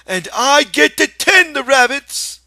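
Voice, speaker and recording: A man's voice: big pitch swings, slow, gravelly, exaggerated voice. A man voicing a big, simple-minded man with a childlike, eager, pleading manner and rustic speech, in a storybook reading. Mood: eager, excited. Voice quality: high, loud, strained voice.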